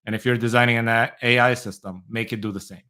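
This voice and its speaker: A man's voice: medium pitch, fairly even intonation, fast talker, pushed and bright, smooth. A middle-aged man from Moldova who grew up in Israel, answering interview questions thoughtfully with light laughs. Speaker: guest, tech entrepreneur.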